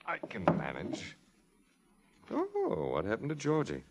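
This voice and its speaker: A man's voice: medium pitch, huge pitch swings, slow, very noisy. An actor playing a mockingly polite, dangerous crook who teases his victims theatrically in a 1950s radio crime drama. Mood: mockingly sweet. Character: smooth-talking male crook, mockingly polite, dangerous, gloating.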